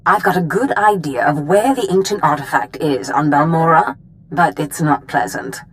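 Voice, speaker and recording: A woman playing a commanding, scheming Sith master in a video game cutscene, speaking with deliberate authority. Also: low voice, natural voice, rough recording. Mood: pleased, wry. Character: commanding, scheming female Sith master briefing her apprentice.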